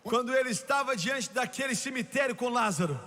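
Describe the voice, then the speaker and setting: A man preaching a fervent revivalist sermon, shouting exultantly with emphatic repetition. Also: very high-pitched, a little fast. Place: large reverberant hall with congregation. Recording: echoey hall, noisy crowd behind.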